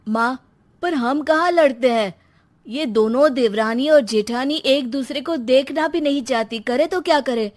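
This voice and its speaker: An adult elder son in a storybook family tale, put on by a woman narrator, speaks insistently. The voice is slightly raised in pitch and sounds exasperated. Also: unhurried.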